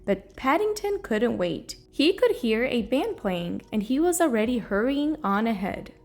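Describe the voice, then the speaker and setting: A woman reading a children's story aloud in an expressive, storytelling manner. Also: fairly high pitch, unhurried. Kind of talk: children's read-aloud narration. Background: soft music.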